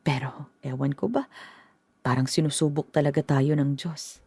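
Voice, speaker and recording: Soft-spoken worried mother. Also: deep voice, husky, no echo. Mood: hesitant. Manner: hesitant, halting, gentle. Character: worried middle-aged mother. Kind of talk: radio drama acting.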